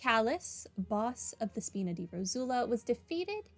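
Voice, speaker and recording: High-voiced woman doing casual game commentary. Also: big pitch swings, measured pace. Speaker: gaming video host. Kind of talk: casual playthrough commentary, reading game text aloud. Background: game music.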